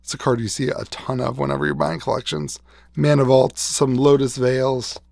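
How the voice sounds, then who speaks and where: A man's voice: medium pitch, flat delivery, moderate pace, gravelly, a little mellow, no echo. A man who is losing his voice after being sick, chatting casually and rambling a little as he shows off a collection of cards in a vlog. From West Virginia. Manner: rambling, restarts, enthusing over old cards. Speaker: card shop owner showing a collection. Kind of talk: casual show-and-tell vlog.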